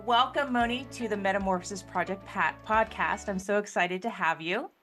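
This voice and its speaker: A woman hosting a podcast interview, enthusiastic and a little halting as she asks her questions. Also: medium pitch, fairly bright tone, soft background music. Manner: enthusiastic, stumbling over questions. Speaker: podcast host.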